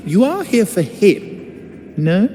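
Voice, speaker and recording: A man's voice: high voice, big pitch swings, slow pace, exaggerated voice. An actor playing a mocking, cryptic male acolyte villain in a video game cutscene, theatrical and taunting. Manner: theatrical, taunting, swooping delivery. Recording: reverberant game audio with loud music.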